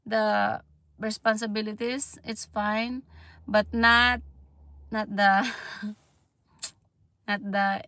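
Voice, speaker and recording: A Filipino woman in her thirties talks slowly and haltingly on a casual livestream, restarting often and trailing off. Her voice has a gravelly creak, and the close recording is dry with no room sound. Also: mid-range voice, flat delivery, sharp tone, no echo. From Philippines. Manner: halting, many fillers and restarts, laughs often. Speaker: livestream vlogger.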